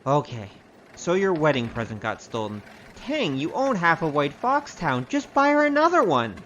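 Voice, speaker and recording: A man's voice: on the high side, huge pitch swings, normal speed, fairly bright tone, highs cut off, a little hiss or hum. A male actor playing a roguish, wry storyteller with a grandiose, theatrical manner in a comic audio drama. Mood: sardonic. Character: roguish, boastful storyteller, wry poor adventurer. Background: music.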